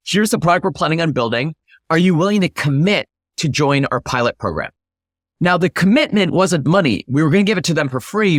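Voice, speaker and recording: A man's voice: fairly high pitch, fairly bright tone, dry recording, clean studio sound. A man giving structured, explanatory answers as an interview guest, stressing his key points. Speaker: interview guest, product leader.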